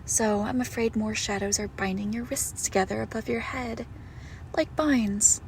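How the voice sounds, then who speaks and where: A woman playing a possessive monstrous girlfriend in an intimate horror roleplay, condescending and darkly affectionate, with deliberate, drawn-out phrasing. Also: fairly high pitch, fairly even intonation, a little hiss or hum, lightly acted, low-quality recording. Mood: soothing menace. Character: possessive monstrous girlfriend with shadow powers, sweetly menacing. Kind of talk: intimate horror roleplay audio, slow and hushed.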